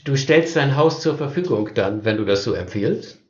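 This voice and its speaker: Male panelist, mid-pitched, musing and teasing, over muffled remote audio. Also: lively intonation, normal speed, reverberant. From northern Germany. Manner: musing, teasing, many hesitations. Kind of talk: casual remote chat.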